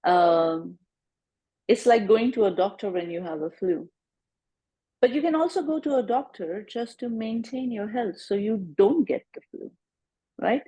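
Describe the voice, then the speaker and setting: A woman psychologist as an interview guest, explaining her work in a relaxed way with everyday comparisons and often checking with "right?". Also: mid-range voice, a little slow, breathy edge, low-quality recording. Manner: explains with analogies, tags "right?". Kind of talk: casual interview chat.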